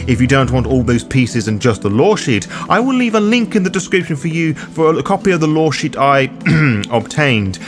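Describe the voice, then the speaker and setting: Lively, theatrical male guide narrator. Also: mid-range voice, moderate pace, music in the background. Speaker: host of a roleplay guide show. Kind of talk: scripted narration.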